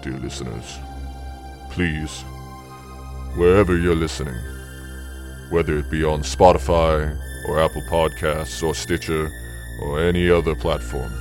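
An actor playing an eerie, courtly radio host, speaking in a measured, solemn, formal way. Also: male voice, rumbling low. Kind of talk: slow scripted radio-show sign-off.

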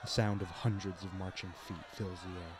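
A man's voice: deep voice, little pitch movement, moderate pace. A man reading scripted narration for a radio play, with noisy crowd ambience behind him.

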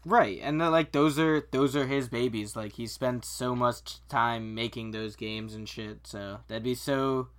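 A man's voice: medium pitch, a little slow, few pauses, smooth. A man co-hosting a podcast, talking casually and bluntly in an informal, sweary way. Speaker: podcast co-host. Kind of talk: casual chat.

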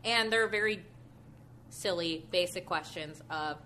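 A woman's voice: on the low side, unhurried, bright, forceful tone, slight background noise. A woman hosting a podcast, talking in a playful, easygoing way. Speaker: podcast host. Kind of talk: casual chat.

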